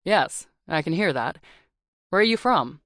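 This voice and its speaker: Woman voicing a smooth-toned young doorman. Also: lowish voice, animated, measured pace, a bit bright, no echo, studio quality. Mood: friendly.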